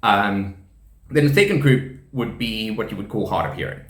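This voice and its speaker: A man's voice: fairly low pitch, lively intonation, normal speed, a bit bright. A man in his thirties with a South African accent, deaf and using hearing aids, explaining his experience in a rambling, casual way with frequent ums, restarts and laughter. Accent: South African English. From South Africa, KwaZulu-Natal. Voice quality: speech shaped by profound hearing loss. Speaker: deaf teacher and rugby player presenting a channel. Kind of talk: casual explanatory talk to camera. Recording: echoey room.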